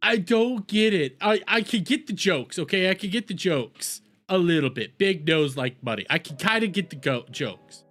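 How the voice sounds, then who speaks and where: High-pitched, animated man ranting. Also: moderate pace, hardly any pauses. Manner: argumentative, exasperated, mocking, repeats phrases for emphasis. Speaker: reaction video host. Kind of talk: heated opinion commentary, casual rant.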